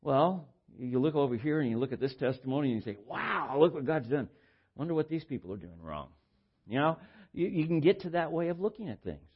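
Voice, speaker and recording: An older man with a baritone voice preaching informally, halting and repeating words as he shares personal stories in a conversational way. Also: very animated, husky, highs cut off. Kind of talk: informal sermon with personal anecdotes.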